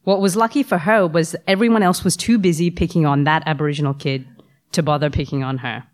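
A woman's voice: deep voice, fairly even intonation, fairly quick. A woman, who has the flu, telling a personal story with wry humor to a live audience. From Australia. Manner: wry, self-deprecating humor.

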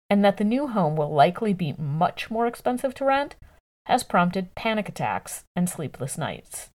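Female narrator for long-form journalism; lowish voice, highly varied intonation, measured and articulate. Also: a little mellow. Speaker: narrator reading a news article.

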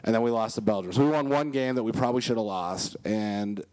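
A man co-hosting a casual chat, rambling and restarting his sentences, with a voice going hoarse. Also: lowish voice, fast, darkish tone, no room sound. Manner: rambling, self-interrupting, laughs often. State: losing his voice. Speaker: podcast co-host.

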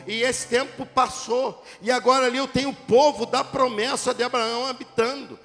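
A middle-aged pastor with a notably high voice, preaching in a dramatic way that climbs into shouts and then drops back to teasing asides to his congregation. He is recorded in a church sanctuary with a long, echoing reverb, and soft music plays faintly behind him. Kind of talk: sermon. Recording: echoey church hall with music.

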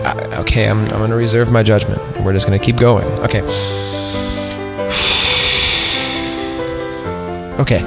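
A man with a low, gravelly voice reads a horror story as a comedy podcast host. He is irreverent and casual, stepping out of the narration to comment on it. Music plays behind his voice. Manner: irreverent, mock-disgusted, often breaks off to comment. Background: background music.

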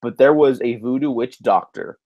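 A man with a slightly nasal voice from a lingering cold hosts a movie podcast at mid pitch. He chats loosely, restarting sentences and swearing casually. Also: normal speed, darkish tone. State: getting over a cold, a bit nasal. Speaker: podcast host.

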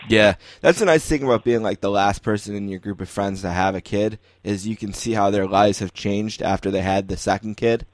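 A man's voice: fairly low pitch, fairly quick, few pauses, no room sound. An American man, middle-aged, hosting a sports podcast with casual, conversational interviewing.

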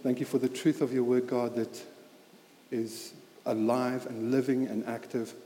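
A man in his thirties with a South African accent, preaching in a church hall. He talks in an earnest, halting way with many fillers and restarts, and his voice is mellow and mid-pitched. The sound is roomy, with a long hall reverb. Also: little pitch movement, slight background noise. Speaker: lay preacher. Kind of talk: sermon with personal story.

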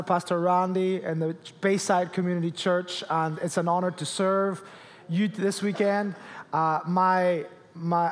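A man, a guest pastor preaching a jokey, animated sermon to a church crowd. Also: high voice. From Spain, Canary Islands. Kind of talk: sermon with humor. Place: church hall with audience. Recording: long reverb of a large hall.

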